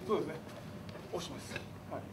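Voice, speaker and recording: Man explaining judo technique from afar. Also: racing. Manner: short explanatory statements between demonstrations. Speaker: judo instructor. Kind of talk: technique demonstration. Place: large indoor dojo. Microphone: far camera. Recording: distant voice in noisy room.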